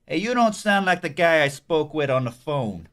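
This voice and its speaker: A man's voice: fairly high pitch, big pitch swings, moderate pace, a bit bright. A man playing a cocky small-time thug who panics easily, in a horror comedy audio drama.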